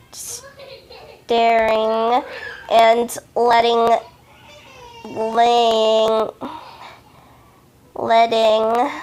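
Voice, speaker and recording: A woman reading a story aloud as an amateur, haltingly, often stumbling over words and correcting herself. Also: medium pitch. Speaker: amateur fan fiction reader.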